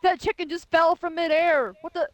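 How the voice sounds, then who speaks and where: A woman chatting casually while playing a game together, playful and teasing, quick to laugh. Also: extremely high pitch, fairly even intonation, muffled recording. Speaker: co-player.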